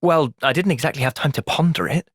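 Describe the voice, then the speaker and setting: A man with an English accent playing an earnest, thoughtful young soldier hero in a video game, speaking sincerely and with measured delivery. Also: on the high side, slightly rough, no echo, studio quality. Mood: calm, earnest. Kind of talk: video game cutscene voice acting.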